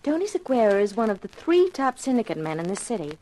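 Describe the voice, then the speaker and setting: An actress playing a poised, alluring young femme fatale with a coy, self-assured manner in a 1950s radio drama. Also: female voice, a little high, sing-song, fast talker, mellow, rough recording. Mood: intent, mysterious.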